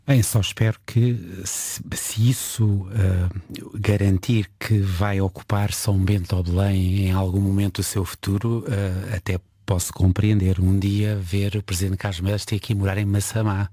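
A man's voice: low-pitched, level tone, normal speed, dark tone, no room sound, studio quality. A man with a European Portuguese accent interviewing a guest, asking probing, lightly teasing questions. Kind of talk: conversational interview.

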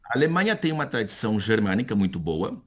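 Academic man, 50s, lowish voice; hesitant, thoughtful interview guest who restarts often. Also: big pitch swings, fast talker, fairly bright tone. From born in Chile to Brazilian parents. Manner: thoughtful, hesitant, with many restarts and repeated words. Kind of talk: conversational interview.

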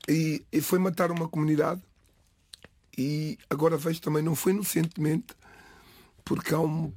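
A middle-aged man from the Lisbon area whose first language is Cape Verdean Creole, giving a halting, reflective personal account in an interview. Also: on the high side, steady tone, a little mellow. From Portugal, Lisbon area. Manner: halting, restarts phrases, pauses between words.

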